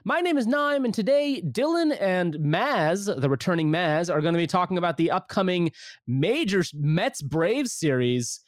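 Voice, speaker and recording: A man's voice: sits high, animated, normal speed, dry recording. Chatty man hosting a podcast, dry mic. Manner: chatty, rambling with many fillers and restarts. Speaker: podcast host. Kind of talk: casual podcast chat.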